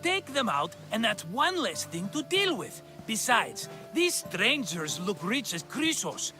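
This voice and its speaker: An excitable high-voiced schemer. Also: male voice, measured pace, faint music behind, full character voice. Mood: excited scheming. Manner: theatrical, cajoling, wheedling. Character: scheming, cheerful, fast-talking male merchant friend in ancient Greece. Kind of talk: video game cutscene acting.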